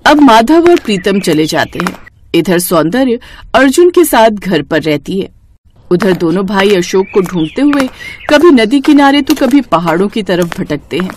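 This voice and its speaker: A woman's voice: mid-range voice, very animated, normal speed, darkish tone, a little hiss or hum. A woman narrating a scripted story in storybook style.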